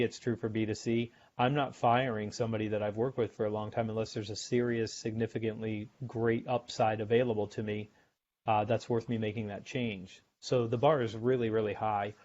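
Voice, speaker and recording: A middle-aged American man, a sales author and podcast guest, explaining his ideas in a measured way and often repeating words as he thinks. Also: lowish voice, fairly even intonation, darkish tone. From United States. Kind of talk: podcast interview. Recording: dull lo-fi remote audio.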